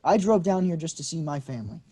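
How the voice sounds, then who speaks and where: An actor reading a quick-tempered young man, combative and swearing in a staged play reading. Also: fairly high pitch, expressive, dark tone.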